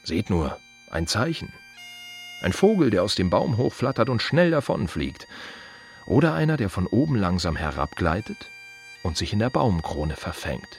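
A man playing a reflective, wry gravedigger in an intimate radio play monologue, speaking in musing fragments with a husky voice. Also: on the low side, very animated, faint music behind. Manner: musing, short fragmentary phrases with pauses. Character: reflective male gravedigger, wry, plain-spoken, quietly observant.

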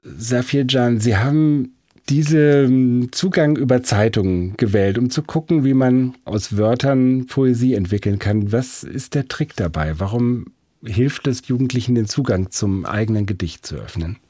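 A man's voice: mid-range voice, unhurried, warm tone, no echo, clean studio sound. A man moderating a literary interview as the host.

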